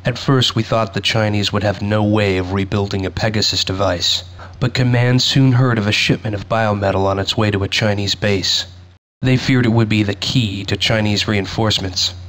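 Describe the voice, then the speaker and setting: An actor playing a grave soldier who recounts a past war in measured game cutscene narration. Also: male voice, lowish voice, steady tone, a bit bright, close to an everyday voice, low-quality recording. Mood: grave, reflective.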